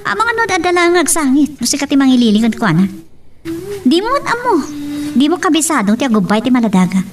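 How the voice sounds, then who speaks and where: A woman's voice: high voice, big pitch swings, quick pace, recorded from a distance. A woman with a Filipino accent playing a young mother in an audio family drama. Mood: chiding. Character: young mother minding a crying baby with her mother. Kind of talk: Ilocano radio-style drama acting.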